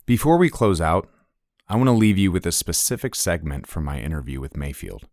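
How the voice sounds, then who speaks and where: Actor as deep-voiced, jaded podcast journalist. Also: male voice, lively intonation, a little fast, darkish tone, no echo, studio quality. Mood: composed. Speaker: podcast host. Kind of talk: scripted narration.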